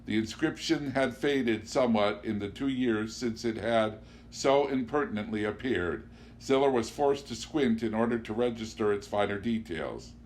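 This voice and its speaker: A man reading a literary novel aloud in an expressive storytelling style. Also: on the low side, moderate pace, low-quality recording. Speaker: audiobook reader. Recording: clear background noise with hum.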